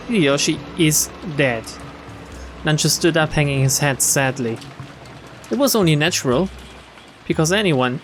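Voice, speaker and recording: A man's voice: on the high side, fairly bright tone. A man streaming a visual novel, reading the text aloud and adding wry, casual asides. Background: game music.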